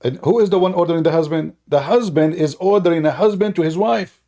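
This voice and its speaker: Mellow-voiced man telling a mocking story. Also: a little high, fast talker. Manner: mocking, repeats phrases for emphasis. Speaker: online commentator and host. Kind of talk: informal mocking storytelling commentary to viewers.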